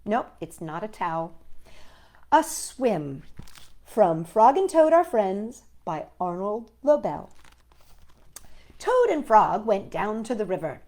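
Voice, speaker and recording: A woman's voice: medium pitch, big pitch swings, slow, long pauses, a little mellow. A woman hosting a children's storytime, reading a picture book aloud with playful, chatty asides to young viewers.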